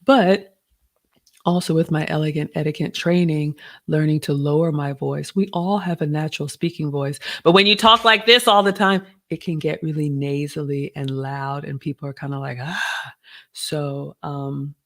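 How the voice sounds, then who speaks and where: A middle-aged woman with a slightly deep, raspy voice, casually hosting a live stream and reading viewer questions aloud. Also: moderate pace, a bit bright, no room sound, clean studio sound.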